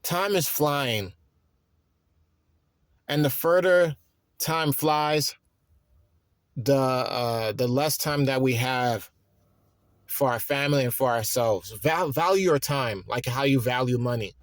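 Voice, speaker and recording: Tired 30s guy rambling, stops and restarts a lot, mid pitch, dry close sound. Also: takes it slowly, long pauses, no room sound. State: tired and worn out. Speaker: vlogger. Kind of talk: reflective personal monologue.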